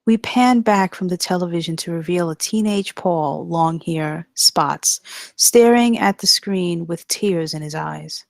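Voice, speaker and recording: A woman's voice: lowish voice, unhurried, creaky, no room sound. A woman narrator reading stage directions in a scripted table read.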